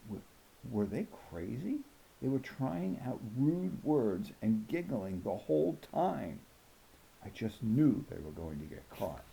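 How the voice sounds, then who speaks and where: A man reading a children's novel aloud as an expressive first-person narrator. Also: medium pitch, slow pace, vocal fry, slight background noise, poor audio. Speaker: audiobook reader.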